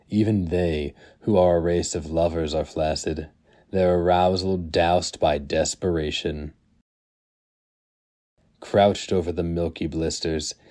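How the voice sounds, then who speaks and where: Man, very deep voice, somber dramatic reading, deliberate pacing. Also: a little mellow. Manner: slow, somber, deliberate delivery. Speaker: narrator.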